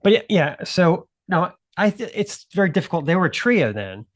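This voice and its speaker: Male co-host, relaxed and wry, speaking close to a dry mic. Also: sits high, moderate pace, no room sound. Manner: relaxed, filler-heavy, wry asides. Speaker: podcast co-host. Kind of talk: casual podcast chat.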